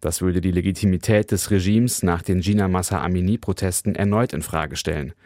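A man filing a scripted radio news report as a correspondent, measured and matter-of-fact. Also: low-pitched, steady tone, darkish tone, no room sound, studio quality.

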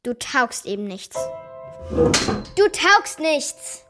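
Headstrong little schoolgirl, high childlike voice, blunt and needling, audio drama for children. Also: very animated, very slow, music in the background, heavily acted. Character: headstrong, cheeky young schoolgirl, worried and stubborn. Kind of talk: children's radio play acting.

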